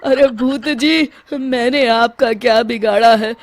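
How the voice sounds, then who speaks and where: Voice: mid-range voice, fairly even intonation, takes it slowly. An actor voicing a terrified, pleading village headman in a cartoon story, wailing and begging. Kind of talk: Hindi animated story voice acting.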